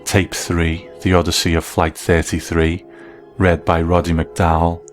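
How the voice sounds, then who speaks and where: Very low-voiced man narrating. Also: completely flat delivery, unhurried, soft background music. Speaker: host. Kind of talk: scripted documentary narration.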